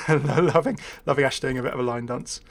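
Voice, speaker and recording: A man's voice: medium pitch, normal speed. Male gaming video host, mid-range voice, casual and unscripted, hesitant thinking-aloud delivery with wry asides.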